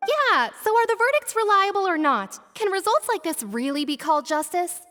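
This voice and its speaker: A skeptical, indignant townswoman in a video game. Her voice is high and animated, in a long hall reverb with slight noise. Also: normal speed, heavily acted. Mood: doubting. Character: doubtful, indignant townswoman in a courtroom gallery. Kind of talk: English game voice acting.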